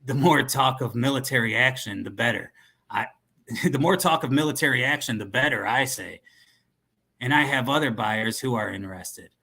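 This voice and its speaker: A man's voice: on the low side, normal speed, gravelly, bright, forceful tone, slightly rough, exaggerated voice. A man voicing a tough, guarded criminal arms dealer in an amateur read-aloud of a text exchange. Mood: scheming, confident. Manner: guarded, menacing criminal talk.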